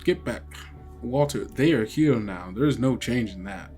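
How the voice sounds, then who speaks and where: A man's voice: medium pitch, expressive, normal speed, dark tone, soft background music, natural voice. A man playing a weary, guarded, folksy small-town sheriff in a tabletop roleplay game. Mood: grim warning. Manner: folksy, colloquial.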